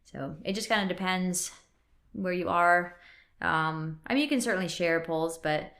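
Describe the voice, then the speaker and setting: A woman's voice: fairly low pitch, moderate pace, fairly bright tone. A woman in her thirties with a mixed Northern and Southern US accent, a podcast guest explaining at length in casual chat, with many fillers. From Wisconsin and North Carolina, US. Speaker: podcast guest, CrossFit coach and gym owner. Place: physical therapy clinic.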